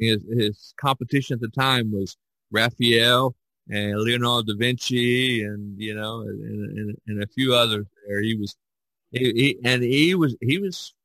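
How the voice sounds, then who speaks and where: A man hosting a casual podcast discussion in a halting, rambling way, often repeating "you know". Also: mid-range voice, sharp tone, dry recording, low-quality recording. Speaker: podcast host.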